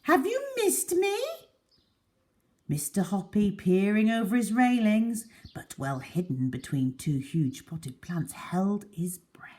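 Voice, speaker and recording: A woman reading a children's story aloud as a storyteller, deliberate and dramatic in her delivery. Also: lowish voice, warm tone.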